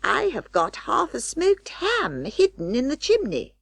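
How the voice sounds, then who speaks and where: Shrill female rat, storybook. Very high-pitched, bossy, argumentative, dry close sound. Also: huge pitch swings, measured pace, creaky, fairly bright tone, dry recording, exaggerated voice. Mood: snappish, bossy. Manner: argumentative, snappish. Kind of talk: storybook character reading.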